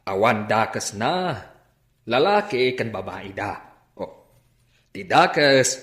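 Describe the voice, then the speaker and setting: A man's voice: medium pitch, very animated, takes it slowly, fairly bright tone, reverberant. A man playing a reasonable, reassuring uncle in a radio drama.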